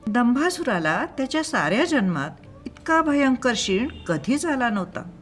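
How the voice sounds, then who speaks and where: A woman narrating a scripted moral story in a measured, expressive storytelling manner. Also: a little high, soft background music. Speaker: storyteller and channel host.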